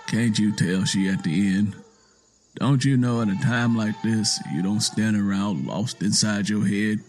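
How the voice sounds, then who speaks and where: A man's voice: fairly low pitch, a little slow, darkish tone, natural voice. A man voicing a stern, bossy older Southern woman in an audio drama, urgent, folksy and scolding.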